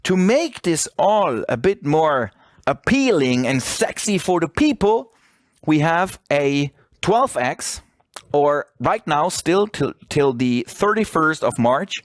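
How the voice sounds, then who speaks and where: A man's voice: a little high, sing-song, slow pace, dry recording, clean studio sound. A man who speaks English as a second language gives an informal, persuasive sales presentation, often asking questions and answering them himself. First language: not English. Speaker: webinar presenter.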